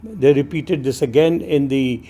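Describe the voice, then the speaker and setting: A man speaking in a documentary interview, halting with frequent fillers and restarts. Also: mid-range voice, warm tone, husky. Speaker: interviewee historian.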